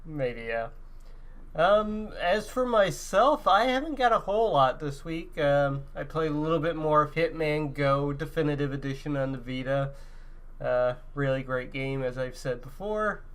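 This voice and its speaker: A man's voice: fairly high pitch, animated, a little slow, fairly bright tone. A man co-hosting a gaming podcast in casual, now and then profane chat.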